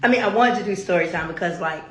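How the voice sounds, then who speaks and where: Female vlogger, hoarse from bronchitis. Sassy and chatty, laughs often, recorded in a roomy space. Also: medium pitch, fast, background noise. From Atlanta. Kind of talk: story time vlog.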